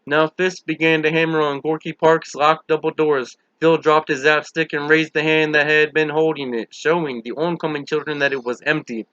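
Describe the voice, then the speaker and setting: Male audiobook reader, slightly high and forceful, dramatic scripted delivery, dry lo-fi sound. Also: little pitch movement, normal speed, hardly any pauses, no room sound.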